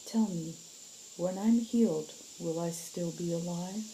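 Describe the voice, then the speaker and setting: A woman voicing a sick, infected girl in a story, frail, hollow and eerily calm. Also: lowish voice, measured pace, a little hiss or hum, pitched above the performer's own voice, natural voice, poor audio. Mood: quiet, resigned. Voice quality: clear, hollow, wet.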